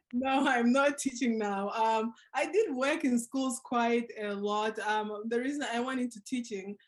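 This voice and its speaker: A woman's voice: fairly high pitch, slow pace, slight background noise. A woman in her twenties telling her personal story on a panel, earnest and reflective, over a video call. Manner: earnest, reflective, with soft laughs. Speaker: panel speaker.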